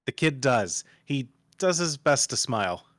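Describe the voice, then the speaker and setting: A man's voice: medium pitch, big pitch swings, unhurried, a bit bright, dry recording, clean studio sound. A man narrating, reading screenplay stage directions aloud as part of a table read.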